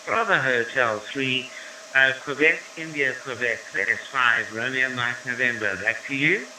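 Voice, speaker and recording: Ham radio operator, man from South Africa, slow careful call signs, bright tone, distant echoey pickup. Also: medium pitch, a little hiss or hum. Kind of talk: amateur radio contacts.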